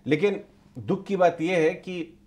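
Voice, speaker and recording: A middle-aged man with a somewhat high voice anchors a live market show in a TV studio. He explains patiently and repeats his key points to drive them home. He speaks into a lapel mic. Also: a little slow, a little mellow. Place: TV news studio.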